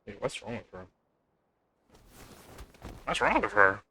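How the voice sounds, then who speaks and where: A man's voice: on the low side, big pitch swings, slow pace, pushed and bright, a little hiss or hum. A man giving casual, loose live reactions as a game streamer. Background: game music.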